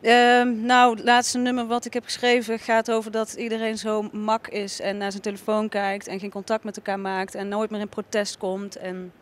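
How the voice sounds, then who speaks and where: A woman's voice: medium pitch, completely flat delivery, normal speed, few pauses. A woman in her thirties, a singer-songwriter, answering interview questions in a casual, hesitant way with many filler sounds. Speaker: singer-songwriter and band leader. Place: venue backstage lounge, indoors. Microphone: handheld mic held close.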